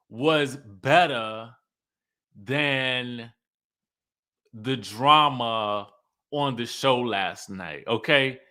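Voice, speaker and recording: A man hosting an online review show, chatting casually and emphatically to his viewers and often checking in with them. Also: mid-range voice. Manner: animated, conversational, emphatic, often checking in with listeners. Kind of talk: casual livestream commentary to viewers.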